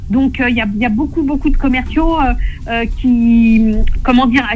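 A woman co-hosting a radio call-in job segment, chatting with a caller and pitching the job like a salesperson. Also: moderate pace, darkish tone, dull audio. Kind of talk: casual radio call-in chat. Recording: radio broadcast.

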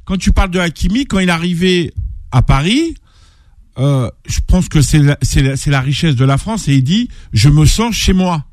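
A man co-hosting a radio sports show, eager and quick to jump in. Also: a little high, sing-song, a little mellow, dry recording, studio quality. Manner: eager, interrupting, repeating names.

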